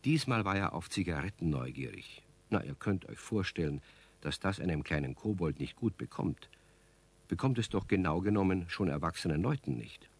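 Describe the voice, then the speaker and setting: A man's voice: sits low, animated, moderate pace, slightly rough, heavily acted. A man performing as a friendly storyteller, narrating a scripted children's radio play. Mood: explaining.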